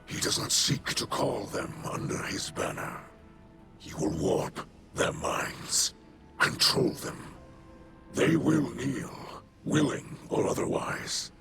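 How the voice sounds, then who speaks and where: A grave old alien leader from a video game, weary and noble. His speech is slow and ceremonial, with wide swings of pitch and a whispery rasp. Loud music plays beneath him. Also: very animated, heavily acted. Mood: resolute, solemn. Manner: slow, formal, oratorical. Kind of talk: dramatic video game cutscene acting.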